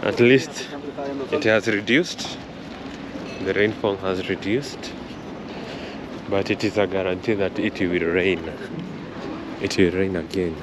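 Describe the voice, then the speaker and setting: A young man chatting slowly and thoughtfully as he films a walking travel vlog, first outdoors at a rainy harbor, then inside a concert hall. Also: deep voice, fairly even intonation. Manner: slow, thoughtful, chatty, says he struggles with R and L. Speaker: travel vlogger. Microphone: camera held close at chest height. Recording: street noise behind the voice.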